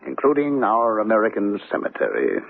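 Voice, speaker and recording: A courtly, middle-aged bachelor banker in a radio play. His delivery is measured and formal yet very lively in pitch, with a slightly rough, husky tone. The sound is the thin, narrow band of an old radio broadcast. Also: heavily acted. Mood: genial. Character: genial, courtly fifty-seven-year-old bachelor banker settled in Honolulu. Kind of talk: radio drama acting.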